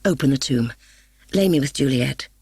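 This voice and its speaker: A woman's voice: extremely low pitch, lively intonation, moderate pace, warm tone, breathy edge, no room sound, clean studio sound, exaggerated voice. A woman voicing a grieving, indignant young nobleman in a storybook, declamatory and anguished. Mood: dying plea. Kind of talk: dramatic audiobook character reading.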